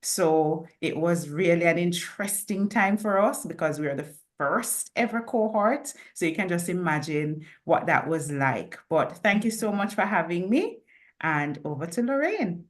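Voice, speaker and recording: A woman from Jamaica introduces herself as a risk and compliance analyst. Her voice sits a little low, and her manner is friendly, with joking asides. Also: animated, unhurried.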